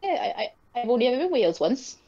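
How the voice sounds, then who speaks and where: A woman's voice: on the high side, big pitch swings, fast talker, highs cut off, over a noisy crowd. A British woman chatting as a guest, cheeky and jokey with playful trash talk. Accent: British English. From Britain. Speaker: guest streamer. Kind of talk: casual chat.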